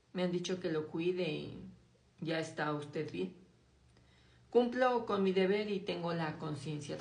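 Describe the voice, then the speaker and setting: A woman reading a classic novel aloud in a slow, hushed, dramatic way, dropping her voice low on grim passages. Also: creaky, echoey room. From Mexico. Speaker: audiobook reader.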